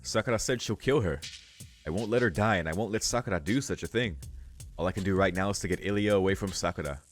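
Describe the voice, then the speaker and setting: A man with a deep voice reads visual novel text aloud as a let's play host. He drifts between narration and casual, musing commentary. Soft music plays behind him. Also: quick pace. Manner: informal, musing asides.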